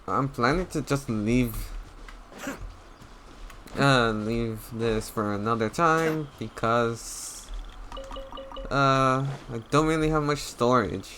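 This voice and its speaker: A man's voice: medium pitch, slow pace, pauses often, sharp tone, slight background noise. A man giving casual gameplay commentary, hesitant and thinking aloud. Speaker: game streamer.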